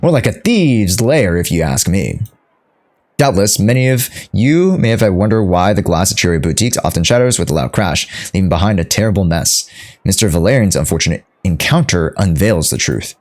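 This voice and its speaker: A man's voice: low voice, lively intonation, moderate pace, darkish tone. A man streaming a game playthrough, casually reading text aloud and commenting on it as he goes. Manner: casual, reactive, echoes lines.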